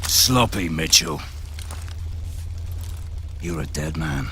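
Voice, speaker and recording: An actor playing a stern, scolding military instructor in a video game, barking clipped criticism. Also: male voice, rumbling low, animated, takes it slowly, pushed and bright, exaggerated voice. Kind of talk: dramatic video game acting. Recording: clear battle and vehicle noise.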